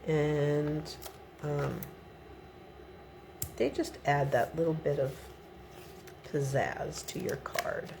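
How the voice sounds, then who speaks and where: A middle-aged woman talks through a card-making project at her desk in an unhurried, low voice with a gravelly edge. She sounds a little sniffly from a cold, chatting casually as she works. Faint room hum sits behind her. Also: a little mellow. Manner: chatty step-by-step instructions. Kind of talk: relaxed craft tutorial. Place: home craft desk indoors. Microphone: overhead camera above the desk.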